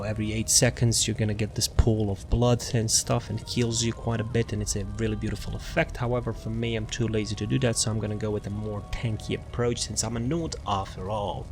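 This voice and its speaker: A man's voice: fairly low pitch, background noise. A man giving casual, off-the-cuff video game commentary as he presents a build guide, rambling and repeating his phrases. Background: music.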